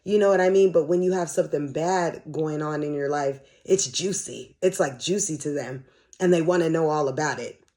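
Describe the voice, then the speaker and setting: Middle-aged american lady chatting on her podcast, mellow tone, voice a bit dry and hoarse, candid and slangy. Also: sits low, normal speed. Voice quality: slightly raspy, dry voice. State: slightly hoarse from cheering. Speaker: podcast host. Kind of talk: casual solo podcast chat.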